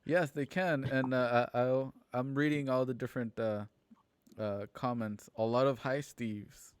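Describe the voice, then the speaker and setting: A man's voice: mid-range voice, steady tone, normal speed, clean tone, no room sound. A man hosting a livestream from home, chatting casually with his cast and audience. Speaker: host of livestreamed radio drama.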